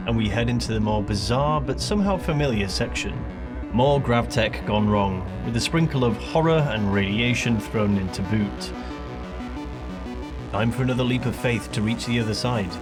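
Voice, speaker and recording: A man who creates gaming videos, speaking as narrator and player with casual gamer slang. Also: fairly low pitch. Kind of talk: scripted gameplay narration mixed with live in-game chatter. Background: music.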